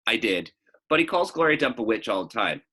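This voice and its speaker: A man's voice: mid-range voice, big pitch swings, brisk, pushed and bright. A man reading the part of an earnest, eager, stubborn young girl in a storybook, plain-spoken and insistent. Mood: defiant.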